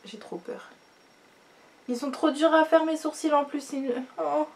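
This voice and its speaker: Young woman vlogging her makeup, stuffy nose from a cold, kinda high voice, lots of ums, trails off. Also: measured pace. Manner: chatty, many fillers, trails off quietly. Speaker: beauty vlogger. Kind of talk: casual makeup tutorial chat.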